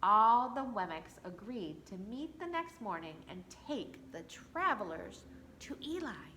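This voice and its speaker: A woman reading a picture book aloud to children at church, expressive with playful asides. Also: medium pitch, a little slow, slight background noise, poor audio. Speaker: church storyteller.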